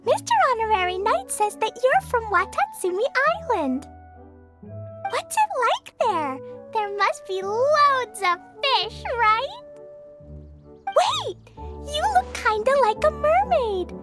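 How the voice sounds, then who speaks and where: A woman's voice: very high-pitched, very animated, slow, loud music behind the voice, full character voice. A woman voicing a bubbly, curious little girl mage in a game, childlike and eager with questions. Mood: excited curiosity. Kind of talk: animated game voice acting.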